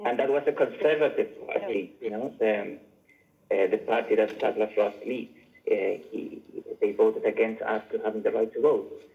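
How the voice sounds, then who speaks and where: A man from Chile speaking as an audience member, putting an earnest, halting question to a televised debate. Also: lowish voice, creaky. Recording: muffled phone-like line.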